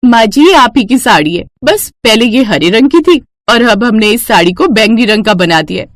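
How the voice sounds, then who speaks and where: A woman puts on a resourceful young daughter-in-law from a cartoon family tale. She sounds eager and reassuring, with bouncy, animated pitch in a dry, close recording. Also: normal speed, dry recording, close to an everyday voice. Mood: pleased.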